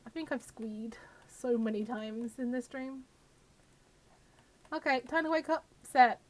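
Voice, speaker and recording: A woman's voice: fairly high pitch, unhurried. A woman with a slightly scratchy voice from a cold, chatting casually as she live-streams a game to viewers and talks to its characters. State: has a cold.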